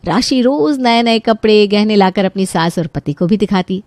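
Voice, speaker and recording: A woman's voice: mid-range voice, expressive, normal speed, a little mellow, dry recording. Woman, story narrator, scripted storytelling, dry studio recording.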